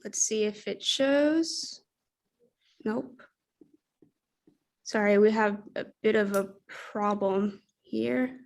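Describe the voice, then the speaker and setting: A woman's voice: mid-range voice, slow, gravelly, pauses often, a little mellow. A young woman in her twenties with an American accent, talking casually on a video call as a project coordinator at an online info session. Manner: casual, chatty, many fillers.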